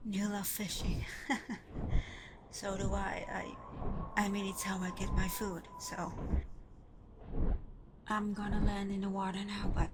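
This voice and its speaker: Woman playing a proud but softening water dragon girl, asmr roleplay, slow n soft, teasing, noisy ambience behind her. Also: mid-range voice, natural voice. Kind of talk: ASMR roleplay, scripted character monologue. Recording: clear background ambience noise.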